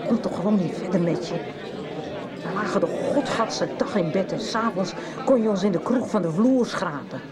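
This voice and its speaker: A woman's voice: mid-range voice, animated, normal speed, natural voice. Actress doing fed-up scornful artist woman, radio soap, blunt, loads of people noise behind her. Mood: accusing. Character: defiant, broke woman artist, scornful ex-lover. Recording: loud busy public-place background. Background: busy crowd noise.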